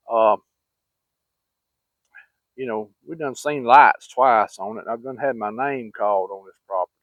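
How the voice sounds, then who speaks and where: Male storyteller, Southern US accent, mid pitch, rambling and plain-spoken; dry, narrow phone-like sound. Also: no echo. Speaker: vlogger. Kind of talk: casual personal storytelling. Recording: muffled, phone-like narrow-band audio.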